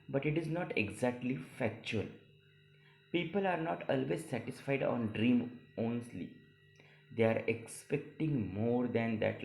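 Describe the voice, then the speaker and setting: A male college teacher reads practice passages aloud for a lesson. His delivery is deliberate and a little halting, with phrases repeated. His mid-pitched voice rings with the echo of the room. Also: gravelly, darkish tone. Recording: echoey room sound.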